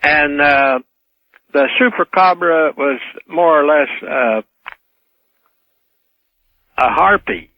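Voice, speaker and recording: A man calling a radio show, chatting casually in a halting, hesitant way. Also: on the high side, expressive, vocal fry, no room sound. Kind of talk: casual phone-in chat. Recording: dull phone call on radio.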